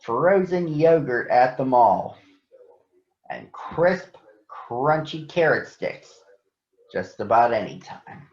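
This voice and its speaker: A man reading a children's story aloud in a deliberate, expressive storytelling manner. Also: mid-range voice. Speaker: storybook reader for children on a recurring show. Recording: echoey room, slightly dull sound.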